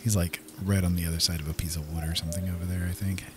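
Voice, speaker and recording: A man's voice: sits low, little pitch movement, very fast, background noise. A man doing casual let's play commentary as a gaming host, thinking aloud as he plays. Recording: game music behind voice. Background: game music and outdoor nature sounds.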